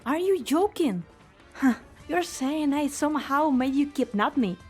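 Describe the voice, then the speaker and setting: A woman playing an indignant, sharp-tongued captive doctor in an amateur game fan dub. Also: high-pitched, animated, unhurried, faint music behind.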